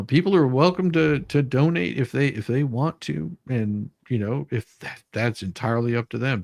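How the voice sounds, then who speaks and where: Male stream host, mid-pitched, informal and rambling, dry close recording. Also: gravelly, dry recording. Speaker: livestream host. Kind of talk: casual livestream chat.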